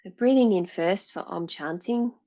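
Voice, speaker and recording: A woman yoga teacher leading a guided meditation in a measured, soothing way, pausing between phrases. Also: medium pitch, dark tone, lo-fi sound.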